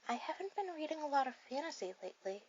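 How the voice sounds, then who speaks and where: A woman voicing a shy, sweet, bookish girl on a first date in an ASMR roleplay, speaking softly and hesitantly to the listener. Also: high-pitched, very animated, highs cut off. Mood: shy, chatty. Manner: soft, hesitant, halting delivery.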